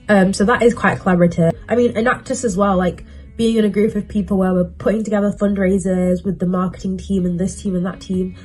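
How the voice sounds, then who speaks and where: A woman's voice: medium pitch, mellow, husky, poor audio. A young adult woman, a university student, giving casual career advice in a chatty, self-assured way with plenty of fillers.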